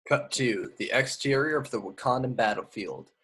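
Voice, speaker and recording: A man's voice: medium pitch, normal speed, a bit bright, slightly rough. A man reading screenplay action lines aloud as narrator in an amateur table read. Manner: stumbles on invented place names.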